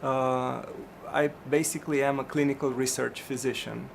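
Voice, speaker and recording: Hesitant gravelly man. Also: medium pitch, fairly even intonation, normal speed, slight background noise. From Romania. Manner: hesitant, many ums and restarts. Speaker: physician. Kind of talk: career interview answers.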